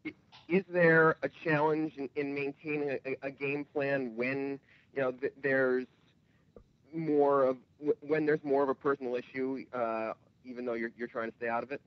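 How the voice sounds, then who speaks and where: A male reporter asks questions in a halting, stammering way. His mid-pitched voice comes dry and lo-fi through a conference call line. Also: flat delivery, no room sound. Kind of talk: asking questions on press call. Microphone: telephone.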